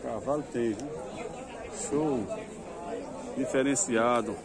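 A man's voice: medium pitch, takes it slowly. A man with a Northeastern Brazilian accent hosting an informal vlog at a livestock fair, talking in short exclamatory catchphrases. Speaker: vlog host. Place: outdoor animal fair yard. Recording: outdoor fair with loud crowd chatter. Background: loud crowd.

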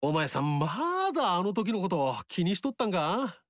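An actor playing a cheeky, folksy upstart warlord in a video game, speaking in a rustic dialect. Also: male voice, high voice, very animated, normal speed, fairly bright tone, no room sound. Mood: teasing. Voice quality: rustic dialect speech, teasing. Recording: dry studio recording.

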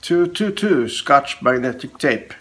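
A man in his forties with a cold, chatting casually in a low-key, halting way as he hosts a hobby unboxing vlog. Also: lively intonation, a bit bright. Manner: halting, low-key, short clipped phrases. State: has a cold. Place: small room at home, indoors, on a couch. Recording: clear background noise, handling clicks.

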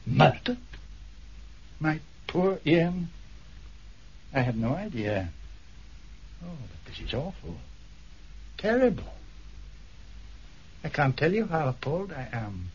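An actor playing a flustered, evasive titled gentleman in a 1970s radio drama, hesitant and effusively courteous. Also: fairly high pitch, big pitch swings, slow pace, long pauses, slightly rough, heavily acted. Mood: shocked, flustered. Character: flustered, evasive titled Scottish islander trustee, polite. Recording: dull, muffled 1970s radio recording.

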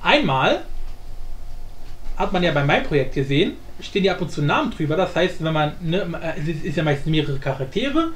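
A man hosting a casual, unscripted software tutorial, thinking aloud informally and repeating himself. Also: high voice. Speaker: tutorial host. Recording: roomy echo with faint background noise.

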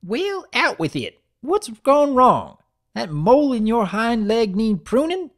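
A man's voice: very high-pitched, big pitch swings, takes it slowly, voice pushed up, heavily acted. A man voicing a feisty, blunt elderly country granny pony with folksy talk, in a dramatic reading of a cartoon story. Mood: pushy.

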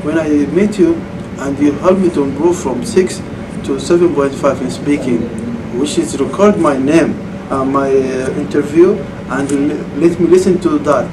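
A man in his thirties with a Saudi Arabian accent, a former student answering interview questions in halting English with frequent restarts, recorded in a computer lab. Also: on the high side. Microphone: far camera mic. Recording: distant camera mic, air conditioning hum.

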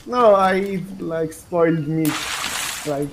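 A woman, non-native English speaker, playing a co-op game and speaking haltingly while searching for words. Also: sits low, slight background noise, poor audio. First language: not English. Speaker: co-op player. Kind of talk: casual gaming voice chat.

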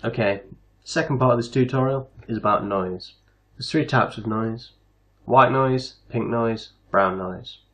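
A man hosting a casual software tutorial, explaining things step by step. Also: medium pitch, unhurried. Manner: step-by-step instructional. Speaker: tutorial host.

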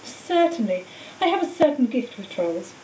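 A woman voicing a cold, treacherous male wizard teacher in an audiobook, speaking in a calm, menacing, matter-of-fact way. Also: a little high, moderate pace. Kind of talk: audiobook character voice. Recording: roomy echo, distant mic, faint hiss.

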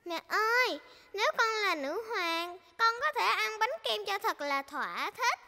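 A woman voicing an excitable, curious little girl pig in a cartoon dub, with music behind her. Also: extremely high pitch, measured pace, long reverb tail, exaggerated voice. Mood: puzzled, wondering. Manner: childlike, eager questioning.

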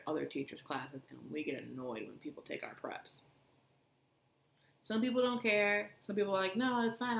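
A young woman with a raspy voice, co-hosting a podcast in casual, wry chat. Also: deep voice. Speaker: podcast co-host, teacher. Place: living room couch, indoors. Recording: echoey room.

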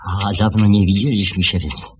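An actor in a radio play as an old widowed shopkeeper, lonely and gentle, stammering and repeating his words. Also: fairly low pitch, steady tone, normal speed, very noisy, close to an everyday voice. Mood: wistful, lonely. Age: older.

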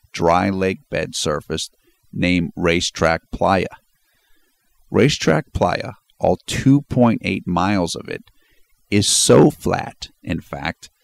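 Man, very deep voice, gravelly, measured formal narration. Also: no echo. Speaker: channel narrator. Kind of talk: scripted voice-over narration.

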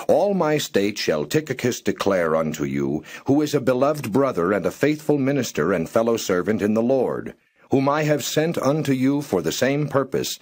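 A man reading Bible verses aloud in a slow, measured way, pausing between phrases. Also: medium pitch, a little mellow, slightly rough. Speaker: scripture reader.

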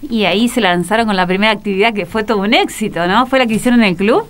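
Smooth-voiced woman radio interviewer. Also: mid-range voice. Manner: quick friendly questions, many short backchannels.

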